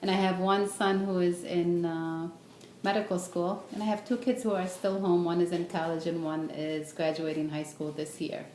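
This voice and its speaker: A middle-aged woman giving an informal, halting self-introduction speech, often pausing to think. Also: on the low side, fairly even intonation, darkish tone. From born in Beirut, raised in the United States. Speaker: student speaker, registered nurse. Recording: echoey room with background noise.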